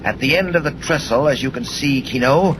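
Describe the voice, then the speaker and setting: A shadowy gang boss voiced by a man, calm and chilling, every word slow and deliberate. he comes through a hissy old radio recording, with steady noise under his voice. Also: medium pitch, lightly acted. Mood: menacing. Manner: cold, slow, deliberate menace. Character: sinister male masked crime boss. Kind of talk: 1940s radio acting.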